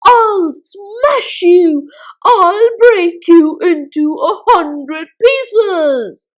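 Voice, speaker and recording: A woman's voice: sits high, sing-song, extremely slow, exaggerated voice. A woman doing a frantic little thief. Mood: furious. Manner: loud, frantic, wailing. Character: mean, thieving little male fellow, panicky.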